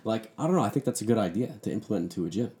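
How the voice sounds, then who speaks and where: A low-pitched man talking fast as a podcast guest, his voice rising and falling a lot. He jokes freely and keeps things playful and loose. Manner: joking, animated, bursts into loud teasing impressions. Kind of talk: casual podcast chat.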